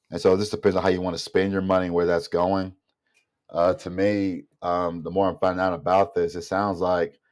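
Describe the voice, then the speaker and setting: A man's voice: sits low, a little mellow. A man giving a casual, rambling opinion monologue as a vlogger, sounding nasal and congested from a mild illness. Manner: rambling, thinking aloud.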